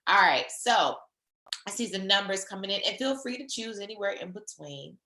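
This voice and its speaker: A woman trainer leading a virtual session on a video call, her voice a little hoarse from a sore throat, speaking in an encouraging, conversational way. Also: medium pitch, lively intonation, normal speed, pushed and bright, echoey room.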